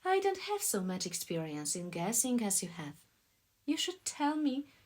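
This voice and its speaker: A woman's voice: fairly high pitch, huge pitch swings, normal speed, darkish tone, voice pushed up. A woman voicing an emphatic, slightly defensive woman answering questions in an audiobook.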